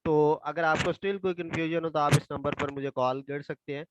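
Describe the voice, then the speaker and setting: A hoarse man, a tutor slowly reading exam questions aloud and explaining them step by step. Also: on the high side, steady tone, dull audio, very noisy. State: hoarse from a throat infection.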